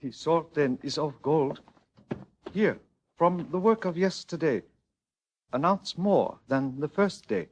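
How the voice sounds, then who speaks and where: Casting: humble Chinese miner, fairly high voice, Chinese-accented English, hissy old radio western. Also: male voice, animated, slow, long pauses. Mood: humble, respectful. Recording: noisy old radio recording.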